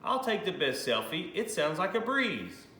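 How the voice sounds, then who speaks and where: An eager, boastful young storybook elf , played by a man reading aloud. He exclaims in a bright, raised voice with lively swings, in a roomy space. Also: sits high, normal speed, pitched above the performer's own voice, exaggerated voice. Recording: roomy echo, faint background noise.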